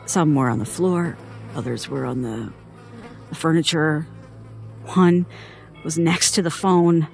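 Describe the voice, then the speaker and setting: An actress playing a frightened woman haltingly recounting a childhood horror in an audio horror drama, sounding haunted. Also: deep voice, gravelly, darkish tone. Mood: uneasy, haunted. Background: music.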